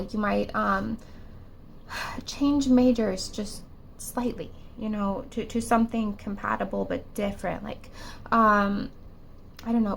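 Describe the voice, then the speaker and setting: A woman's voice: on the high side, creaky, a little hiss or hum. A woman giving a pick-a-card tarot reading, her voice still affected by a cold, musing hesitantly with many fillers and restarts. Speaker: tarot reader.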